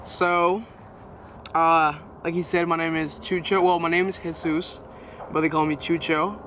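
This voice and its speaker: A man's voice: on the high side, little pitch movement, measured pace, pushed and bright, a little hiss or hum. A nineteen-year-old man from Venezuela with a Venezuelan Spanish accent, a native Spanish speaker telling his faith story casually, with many fillers and restarts. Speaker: guest sharing testimony. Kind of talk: personal testimony, casual.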